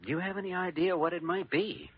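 An actor playing an earnest, reserved younger hospital doctor in a vintage radio drama, speaking in a measured, formal and courteous way. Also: male voice, high-pitched, natural voice. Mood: calm, attentive. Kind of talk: 1940s radio drama acting. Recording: muffled, noisy vintage radio recording.

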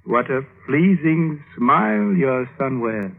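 A man's voice: medium pitch, expressive, slow, creaky, full character voice. A man playing a spry, genial old man near seventy in a classic radio drama, speaking in a courtly way. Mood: genial, inviting. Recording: narrow-band old radio recording.

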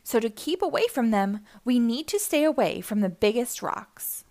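A woman voicing a keen, quick-thinking boy driver who speaks earnestly and eagerly in a children's storybook. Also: on the high side, big pitch swings, a little mellow. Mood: urgent.

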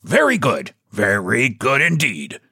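A man's voice: mid-range voice, huge pitch swings, measured pace, bright, forceful tone, breathy edge, dry recording, clean studio sound, exaggerated voice. A man voicing a short, fat, shabby book agent in a storybook, sly and mock-polite with a mysterious, pompous manner. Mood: brisk, smug. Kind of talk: storybook character voice.

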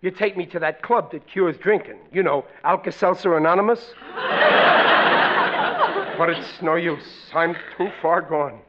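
A man's voice: on the high side, a little slow, close to an everyday voice. An American actor playing a blustering, wheedling family father in a classic radio comedy, melodramatic and exasperated. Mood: indignant. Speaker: sitcom lead actor. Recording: dull, noisy old radio broadcast.

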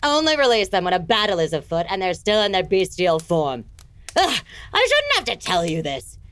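Imperious, scolding evil empress. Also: female voice, mid-range voice, wildly swooping pitch, normal speed, a bit bright. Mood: scornful, exasperated. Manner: haughty, exasperated, scolding. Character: imperious, impatient evil empress, scornful of minions. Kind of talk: comic fantasy audio drama.

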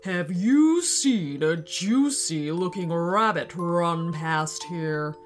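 A woman's voice: fairly low pitch, expressive, slow, faint music behind. A woman voicing a wicked, boastful male wolf with a menacing drawl, for a children's story.

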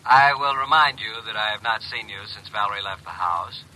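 Sharp, piercing brash detective on telephone. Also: male voice, lowish voice, a little fast, poor audio. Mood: impatient, sardonic. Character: brash, impatient male private-eye assistant phoning in. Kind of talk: classic radio drama acting.

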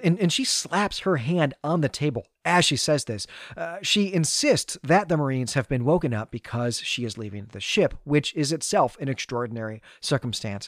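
A man's voice: on the high side, no room sound, studio quality. Chatty man recapping a story. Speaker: podcast host.

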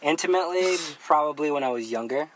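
A man's voice: fairly high pitch, quick pace, background noise. A young man from the Philippines, a guest photographer, chatting in a laid-back, rambling way with lots of fillers. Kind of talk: casual podcast chat.

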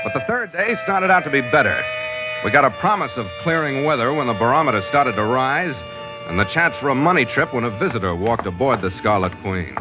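An actor playing a terse, seasoned American ship's master, narrating and acting in a hardboiled 1940s radio adventure style. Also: male voice, medium pitch, expressive, fairly quick, natural voice. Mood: brooding, matter-of-fact. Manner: terse, wry, matter-of-fact. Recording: muffled vintage radio, music underneath.

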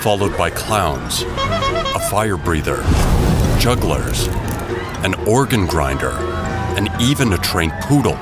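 A man narrating a scripted audio drama in a dramatic, deliberate storytelling way. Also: deep voice, huge pitch swings. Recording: loud music bed behind the voice. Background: loud music.

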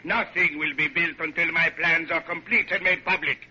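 An actor playing a haughty, hot-tempered perfectionist architect, in an imperious, theatrical classic radio drama style. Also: male voice, a little high, fairly even intonation, moderate pace, pushed and bright, husky, full character voice. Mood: outraged, commanding. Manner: imperious, theatrical, grandly formal. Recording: noisy, dull vintage radio recording.

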